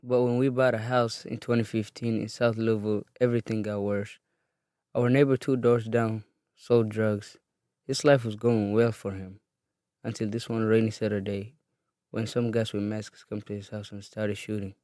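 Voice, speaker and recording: An eighteen-year-old man from Somalia reading his own life story in an earnest, steady way. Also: on the low side, normal speed, no echo, clean studio sound. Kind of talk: read personal narrative.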